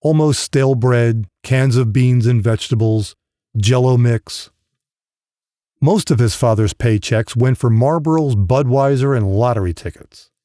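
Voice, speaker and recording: A man's voice: medium pitch, unhurried, warm tone, dry recording, clean studio sound. A man reading a grim novel as scripted audiobook narration.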